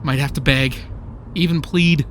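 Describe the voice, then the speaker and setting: A man's voice: fairly high pitch, steady tone, unhurried, noisy background, natural voice. An actor in a comic radio play playing a stubborn, boastful young man with a grumbling, defensive, wry manner.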